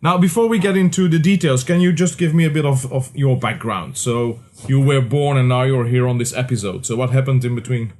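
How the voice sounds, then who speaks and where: A man hosts an interview podcast in a relaxed, conversational way. He talks at an everyday mid pitch and pauses often on small fillers. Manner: relaxed, many filler words. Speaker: podcast host. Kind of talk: casual interview.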